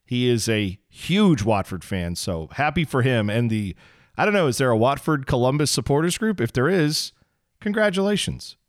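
A hoarse man in his thirties, co-hosting a casual soccer podcast from his home basement, telling rambling, self-deprecating stories. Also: medium pitch, lively intonation, moderate pace, dry recording, clean studio sound. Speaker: podcast co-host. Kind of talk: casual sports chat.

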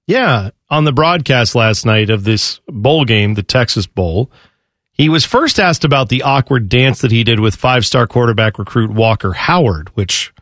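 A man's voice: medium pitch, animated, normal speed, fairly bright tone, no echo. Casual male sports co-host, dry close sound. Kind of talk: casual sports talk and banter.

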